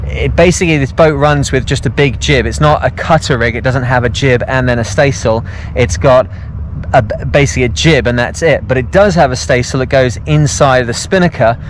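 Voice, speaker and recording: A man's voice: medium pitch, a little hiss or hum. A man in his forties, a sailing vlogger, rambling casually through a tour of boat jobs with many ums, filmed on an action camera at arm's length outdoors in a marina. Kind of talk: casual walkthrough vlog. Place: outdoors on a yacht deck in a marina. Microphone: action camera held at arm's length.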